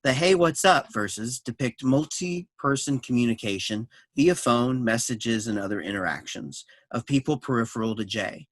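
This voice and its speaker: Guy narrating from a script, explaining stuff steadily, middle-pitched voice, dry recording. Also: moderate pace, few pauses, no echo. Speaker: narrator of a staged reading. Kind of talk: scripted narration of an introduction and stage directions.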